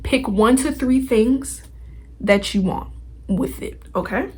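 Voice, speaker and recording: A woman's voice: fairly high pitch, slow pace, breathy edge. A woman hosting a podcast, giving a casual motivational talk straight to listeners in an informal, slangy, emphatic way. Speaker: podcast host.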